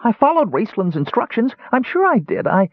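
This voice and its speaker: A man reading aloud voicing a small, excitable male kender with a high, wavering voice. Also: unhurried, husky, dry recording, exaggerated voice. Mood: distraught. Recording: tinny phone-like dry sound.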